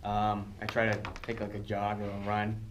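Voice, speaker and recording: Laid-back young man with lower voice. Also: monotone, moderate pace, recorded from a distance. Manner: hesitant, filler-heavy, laid-back. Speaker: focus group participant. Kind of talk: casual discussion. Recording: clear background hiss.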